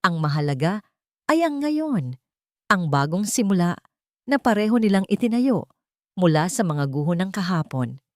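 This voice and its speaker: A woman with a Filipino accent narrating a scripted dramatic story in an expressive, measured way. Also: on the low side, no echo, clean studio sound. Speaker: audiobook narrator.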